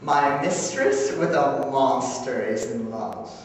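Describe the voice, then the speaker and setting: A man playing a mischievous male fairy sprite, declaiming Shakespearean verse loudly with swooping, drawn-out words. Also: medium pitch, close to an everyday voice. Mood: gleeful, mischievous. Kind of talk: theatrical Shakespearean verse monologue. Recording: echoey theater recording from far off, noisy.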